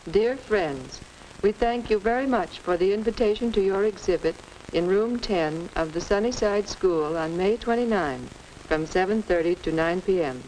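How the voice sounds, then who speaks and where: Woman acting as a courteous american mom, formal and encouraging, medium pitch, old 40s school film with light noise. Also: expressive, darkish tone, lightly acted. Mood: gracious, encouraging. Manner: gracious, measured, formal. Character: courteous, encouraging middle-aged mother. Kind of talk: 1940s instructional film acting. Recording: noisy 1940s educational film soundtrack.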